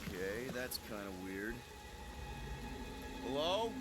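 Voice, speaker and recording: A man's voice: medium pitch, very animated, slow, noisy background. An actor playing a brash, flippant young leader of space outlaws in a video game, wisecracking as he goes.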